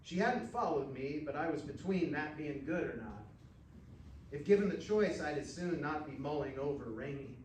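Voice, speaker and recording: A man's voice: fairly high pitch, animated, darkish tone. A man reading a first-person short story aloud in a dramatic, folksy storytelling manner, recorded at a distance in a roomy space. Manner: folksy, unhurried cowboy storytelling. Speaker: actor reading fiction aloud. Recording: roomy, distant mic with faint noise.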